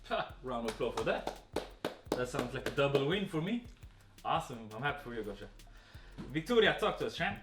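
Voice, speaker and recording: A man's voice: mid-range voice, big pitch swings, brisk, long pauses. Male fitness coach, webinar host. Casual, effusive encouragement, roomy sound, slightly off-mic. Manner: effusive, encouraging, informal hype. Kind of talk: casual group webinar hosting. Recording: roomy, distant webinar audio with faint music.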